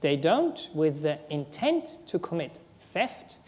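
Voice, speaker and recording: A woman with a German accent, a Buddhist monastic co-teaching a workshop, explaining in a halting way with frequent "um" and restarts. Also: deep voice, very animated, darkish tone. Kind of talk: workshop discussion. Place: Buddhist centre hall.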